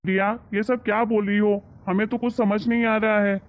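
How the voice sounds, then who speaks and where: A man's voice: high voice, level tone, a little fast, close to an everyday voice. A man voicing an anxious, strict father in a cartoon moral story, with an emphatic, admonishing manner. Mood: bewildered. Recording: thin, phone-like narrow sound.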